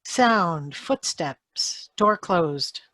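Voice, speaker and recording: A woman's voice: lowish voice, expressive, drawn-out words, a bit bright, no echo. A woman reading out sound-effect cues for a radio play in clipped, announcing phrases.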